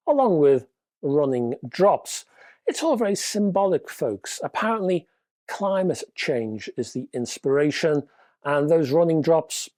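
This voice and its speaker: A man's voice: fairly high pitch, dark tone, dry recording. A man with a stuffy, nasal voice from a cold, presenting a casual hi-fi news roundup with dry, wry humor.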